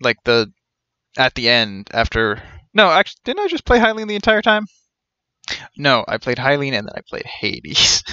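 Bright-voiced young man streaming, slightly dull audio. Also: medium pitch, huge pitch swings, normal speed, dry recording. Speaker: game streamer. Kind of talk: casual live stream commentary on a game.

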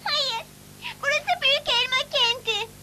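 A woman's voice: very high voice, measured pace, slight background noise, full character voice. A woman voicing a sweet, wide-eyed little small-town girl in a Turkish cartoon dub, childlike and exclamatory. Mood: cheerful. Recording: old VCD dub with loud music.

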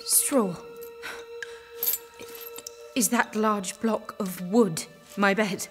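A woman's voice: medium pitch, drawn-out words, faint music behind. A young woman playing a devout peasant maiden held prisoner in an audio drama. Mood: dismayed. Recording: long echoing reverb.

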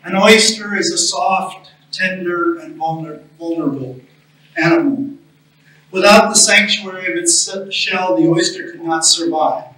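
In a very reverberant room, a man delivers a memorial homily from some distance off the microphone. His voice is high for a man and he speaks very slowly. He sounds solemn and deliberate, leaving space between phrases. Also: bright, forceful tone. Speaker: chaplain leading a memorial service. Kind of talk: memorial homily, reading a reflective story. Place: small chapel, indoors at a lectern.

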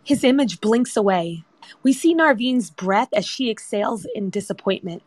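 A woman's voice: mid-range voice, animated, moderate pace, dry recording. A woman narrator reading screenplay directions as scripted narration. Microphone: remote audio chat app.

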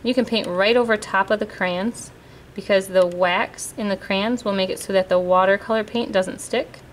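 A woman walks through a watercolor lesson in a calm, patient, step-by-step way, speaking as she paints at a table. Her voice sits at an ordinary middle pitch. A faint hum of room tone stays under her voice. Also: moderate pace. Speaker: art teacher. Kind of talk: painting demonstration. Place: indoors at a table, hands-only overhead camera.

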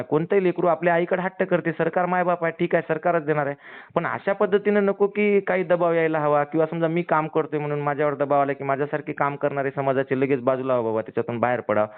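A man's voice: fairly high pitch, fairly even intonation, fast talker, smooth. A man with a Marathwada Marathi accent, speaking earnestly and insistently on a phone call, pleading and explaining his point. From rural Maharashtra, India. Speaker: community activist calling a politician. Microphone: phone line. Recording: tinny narrowband phone call recording.

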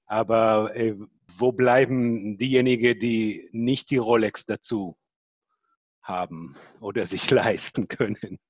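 Slow, low-voiced man in discussion. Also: fairly even intonation, husky, no echo. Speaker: panelist. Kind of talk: casual discussion.

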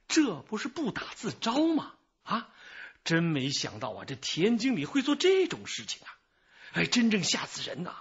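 Radio drama part: middle-aged male informant, high bright voice, wordy, evasive, self-excusing. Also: husky, lo-fi sound, heavily acted. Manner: chatty, rambling, sighing, hedging.

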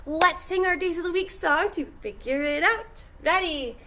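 A young woman speaking as a kindergarten teacher, animated and encouraging, giving a lesson to small children. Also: extremely high pitch, normal speed, a little hiss or hum. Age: young adult. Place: indoor classroom.

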